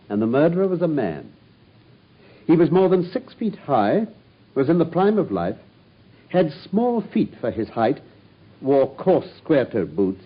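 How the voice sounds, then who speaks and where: A man's voice: medium pitch, husky. A man playing a brilliant, cool-headed detective who speaks with precise, ironic politeness in a radio drama. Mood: confident. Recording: dull lo-fi radio broadcast.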